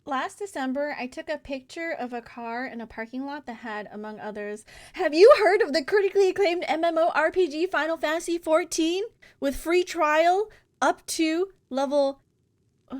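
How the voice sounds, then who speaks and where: A woman livestreaming a game, chatting casually in an animated, informal way. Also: high-pitched, normal speed. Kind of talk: casual chat while streaming a game. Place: indoor livestream setup with game music behind.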